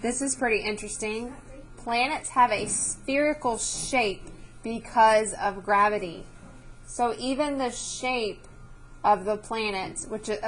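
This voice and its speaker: A woman's voice: a little high, a bit bright, slight background noise, low-quality recording. A woman teacher reading a science book aloud to children, explaining as she goes and checking understanding with short questions.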